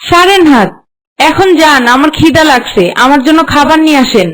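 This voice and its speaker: Woman playing a squeaky-high sulky young wife. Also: animated, a little fast, a bit bright, faint music behind, low-quality recording. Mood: demanding. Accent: Bangladeshi Bengali. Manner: complaining, protesting. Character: pretty young village wife, sulky and demanding. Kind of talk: cartoon moral story voice acting.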